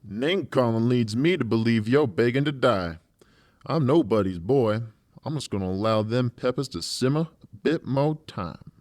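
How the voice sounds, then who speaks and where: A male actor as a huge professional torturer from an audiobook thriller. He is theatrical and menacing, with a very animated pitch. The sound is dry and close. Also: moderate pace, dry recording, close to an everyday voice. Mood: taunting glee. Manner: slangy, showy and taunting. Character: large, gleefully sadistic professional torturer who plays the comedian. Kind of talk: dramatized audiobook character acting.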